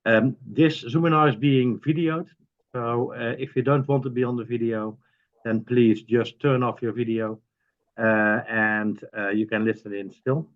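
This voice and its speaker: A man hosting a webinar over a video call, talking hesitantly with many pauses and restarts. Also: medium pitch, fairly even intonation. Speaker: webinar host. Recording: dull, lo-fi video call audio.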